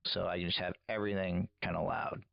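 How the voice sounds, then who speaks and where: A man's voice: deep voice, flat delivery, brisk, vocal fry, dull audio, heavy background noise. A man giving casual, patient, step-by-step technical coaching to another radio operator.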